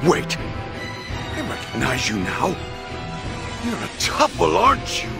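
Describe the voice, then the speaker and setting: A proud warrior prince from an anime battle game. His voice is gravelly and forceful, pitched high with big swings, and he speaks haughtily over loud music. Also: huge pitch swings, slow pace, exaggerated voice. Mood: wary. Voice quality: gruff, gravelly. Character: proud, hot-tempered adult alien warrior prince.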